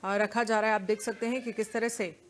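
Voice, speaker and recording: A woman's voice: mid-range voice, steady tone, brisk. A woman, presenting live news and putting questions to a reporter as anchor.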